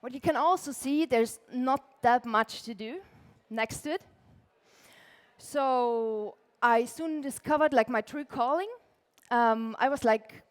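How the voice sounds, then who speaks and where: Young austrian woman chatting on a conference stage, kinda high voice, lots of "like". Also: long reverb tail. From Austria (Tyrol). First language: German. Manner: casual, chatty, many "like" fillers, laughs lightly. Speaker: conference speaker, illustrator. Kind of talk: conference talk.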